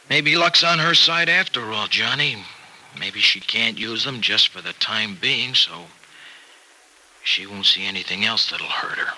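A man's voice: lowish voice, slow, long pauses, bright, forceful tone, full character voice, low-quality recording. An actor in an old-time radio soap opera playing a folksy, generous American farmer who hesitates earnestly as he worries over an injured friend. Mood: reassuring. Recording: vintage radio drama recording.

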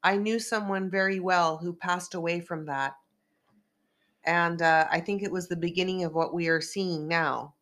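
A woman's voice: lowish voice, steady tone, fairly bright tone. A woman from Northern California hosting a casual chat show, a little congested and losing her voice, talking in a rambling, chatty way. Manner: rambling, chatty, quick asides. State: congested from a sinus rinse, losing voice. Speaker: host.

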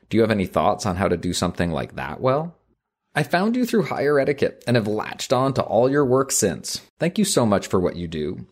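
A man co-hosting an etiquette advice podcast, talking things through thoughtfully and reading a listener letter aloud. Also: medium pitch. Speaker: podcast co-host.

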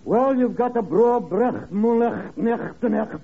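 A noisy, tinny old broadcast carries a comic Scottish inspector. He blusters in a high voice with a thick stage burr, in 1950s radio comedy style. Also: slow pace, vocal fry, full character voice. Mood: blustery. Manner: blustering, exaggerated guttural syllables. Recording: crackly old radio broadcast, narrow band, studio audience.

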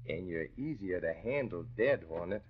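A low-voiced, callous gangster boss schemes in tough slang, in the manner of 1940s radio crime drama. The recording is old and dull. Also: male voice, expressive, moderate pace, slight background noise. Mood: smug menace.

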